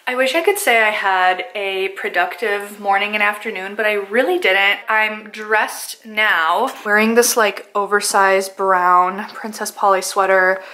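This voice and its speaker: A woman in her twenties, a native Polish speaker, chatting casually to a handheld camera in a self-mocking vlog style, voice a little hoarse. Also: mid-range voice, fairly even intonation, measured pace, pauses often, pushed and bright. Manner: chatty, self-mocking, sprinkled with likes and ums. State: slightly hoarse. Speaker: vlogger. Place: apartment living room, car and store. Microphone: handheld camera close up.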